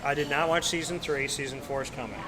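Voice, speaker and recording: A man hosting a casual live talk show, telling animated stories and asking a guest questions. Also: on the high side, fast. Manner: chatty storyteller, animated asides. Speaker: host. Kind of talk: casual interview chat. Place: busy restaurant. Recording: loud crowd chatter behind.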